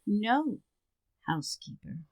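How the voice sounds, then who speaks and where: A woman playing a firm, exasperated suburban wife in a radio sitcom, speaking in a measured, pointed, dryly sarcastic way. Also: mid-range voice, very animated, a little mellow, no echo. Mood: firm, stubborn. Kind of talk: old-time radio sitcom acting.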